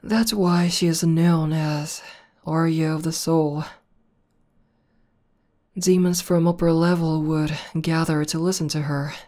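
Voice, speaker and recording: A woman playing a proud, battle-hardened tomboy demon girlfriend in an intimate ASMR roleplay, talking conversationally with thoughtful pauses. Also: low-pitched, steady tone, mellow. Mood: calm, boastful. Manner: unhurried, hesitant pauses, conversational.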